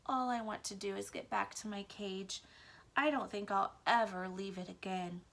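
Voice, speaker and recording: A woman's voice: medium pitch, steady tone, normal speed, gravelly, fairly bright tone. A woman voicing a timid, earnest male pet mouse with hesitant, formal, plaintive speech in a children's story. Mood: sad, earnest. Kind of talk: children's book read-aloud.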